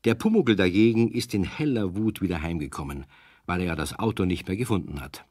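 Low-voiced male storybook narrator. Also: expressive, a little fast, darkish tone, no echo, studio quality.

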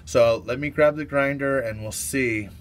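A man in his thirties casually reviewing a power tool in his shop, relaxed and full of fillers. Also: mid-range voice, a little slow. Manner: relaxed, filler-heavy, practical shop talk. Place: under a lowered truck, then inside an auto shop.

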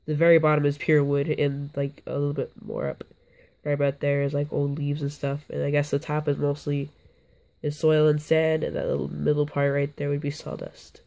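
A young man, hoarse from being sick, talks his way through a casual floor-level vlog. His voice is gravelly and dark, and it stays flat and monotone. He rambles and often breaks off mid-sentence to restart. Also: on the high side, normal speed. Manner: rambling, self-interrupting, offhand. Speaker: bug-keeping vlogger. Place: indoors, on a carpeted floor.

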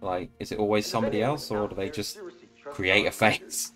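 A young man reacting to a TV episode on a headset mic in a small home room, excitable, swearing and calling out to the screen. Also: on the low side, normal speed, over music. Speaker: reaction video host. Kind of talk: casual reaction commentary.

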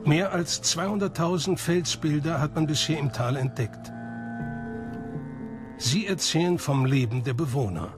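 A man's voice: a little high, measured pace. A man reading scripted documentary narration. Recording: music behind the voice. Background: background music.

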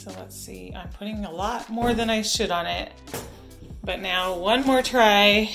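A woman's voice: mid-range voice, a little slow, gravelly. A woman hosting a cleaning vlog, talking casually and informally through a product test. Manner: informal, chatty. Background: music.

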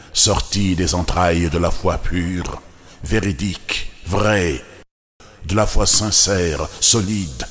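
A man with a Senegalese accent solemnly reciting devotional poetry in measured, reverent, declamatory short phrases. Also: deep voice, a little hiss or hum.

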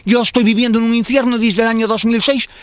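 A man's voice: very high voice, level tone, quick pace, no room sound. A man in his fifties with a Galician Spanish accent, talking in a street interview outdoors, rambling and repeating himself with many restarts. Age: 50s. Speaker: tenant facing eviction. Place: outdoors in a garden. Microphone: handheld interviewer mic.

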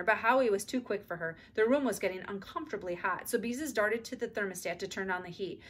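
A woman's voice: mid-range voice, a bit bright. A middle-aged woman narrating a children's book expressively, reading aloud indoors at home. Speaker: read-aloud storyteller.

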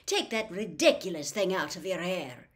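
A woman voicing a stern, brisk female teacher in a storybook, giving sharp, clipped commands. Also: on the low side, sing-song. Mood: stern, snapping.

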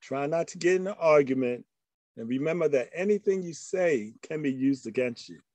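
A man's voice: a little high, lively intonation, moderate pace, darkish tone, no echo. Man presenting, close dry sound. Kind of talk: slide presentation to a discussion group. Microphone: video call microphone.

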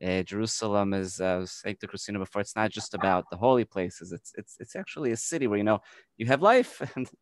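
A man in his forties with an American accent, a tour guide giving a virtual tour over a video call on a headset, talking quickly with frequent restarts. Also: lowish voice, no echo. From United States. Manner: fast, energetic, repeats words when restarting. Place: home by an open window.

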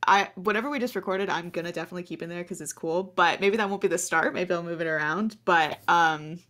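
An American woman in her twenties with a notably low voice for a woman. She co-hosts in loose, rambling conversation, often breaking into laughter. Her pitch sits on the low side. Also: fast, pushed and bright. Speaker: podcast co-host. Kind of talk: casual chat.

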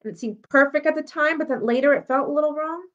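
A woman reading the part of a troubled, guarded young schoolgirl in a storybook, earnest and halting. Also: high-pitched, a bit bright, voice pushed up. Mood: anxious, confessing. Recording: dull lo-fi audio.

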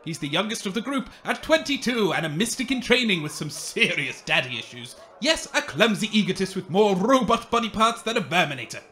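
A man's voice: high-pitched, big pitch swings, fairly quick, hardly any pauses, pushed and bright, slight background noise, heavily acted. A man playing a showy, grandiose wasteland game show host in a comedic radio play.

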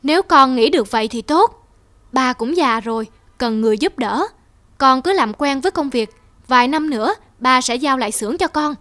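A woman voicing a gentle, regretful elderly father in an earnest, admonishing way for an animated moral drama. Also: high-pitched, normal speed.

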